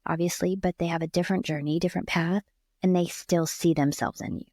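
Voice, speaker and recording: A woman's voice: fairly low pitch, steady tone, a little fast, a little mellow. A woman hosting a conversational podcast interview, speaking in an effusive, affirming way. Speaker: podcast host.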